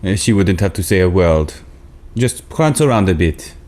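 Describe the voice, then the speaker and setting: Man playing a flamboyant, animated playwright. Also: deep voice, normal speed. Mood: breezy. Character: flamboyant French playwright, airy and self-assured. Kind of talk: table read of a period comedy.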